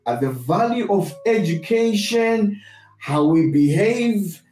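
Slow, high-voiced Zimbabwean man teaching. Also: lively intonation, darkish tone. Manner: deliberate, repetitive, emphatic restating of points. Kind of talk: reflective teaching talk, sermon-like. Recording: roomy echo, distant mic, music behind.

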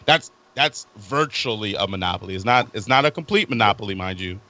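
A man with a sharp, bright voice talks through an argument in a casual chat. He restarts and hunts for words as he goes. The recording sounds muffled and lo-fi. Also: fairly low pitch, animated, no echo. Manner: halting, lays out arguments step by step. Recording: dull lo-fi recording.

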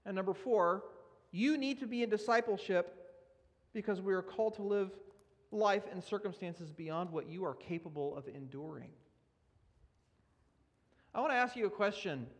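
A man's voice: high-pitched, moderate pace, long pauses. Man preaching in a reverberant hall. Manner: earnest, emphatic preaching with scripture readings. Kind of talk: sermon. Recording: long reverb of a large hall.

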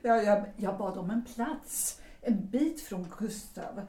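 Woman acting a composed society wife, mellow voice. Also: medium pitch, expressive, close to an everyday voice. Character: composed, guarded married woman of 1900s society. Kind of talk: period stage play as audio drama. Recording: roomy echo.

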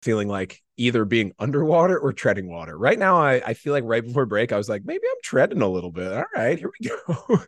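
A man's voice: mid-range voice, wildly swooping pitch, fairly quick, no echo. A man chatting casually as a podcast guest, rambling and self-deprecating, with lots of "like" in his talk. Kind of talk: casual chat, anecdotes.

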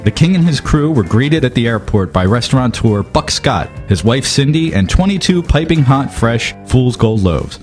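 Male podcast narrator, scripted comic storytelling, lively intonation over soft guitar music. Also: mid-range voice, normal speed, few pauses.

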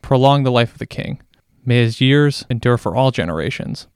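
A man reads scripture solemnly in a crisp, resonant voice of medium pitch. There is a creaky, gravelly edge to it, and the recording is dry and close. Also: animated, moderate pace, darkish tone, dry recording. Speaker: scripture reader. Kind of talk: solemn psalm reading.

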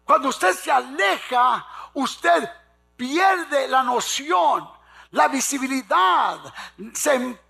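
A male preacher delivers a fervent sermon in a very high, forceful voice with a heavy rasp. He exhorts and repeats his phrases for emphasis, prompting the congregation to answer him. His delivery is slow and weighty. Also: animated, soft background music.

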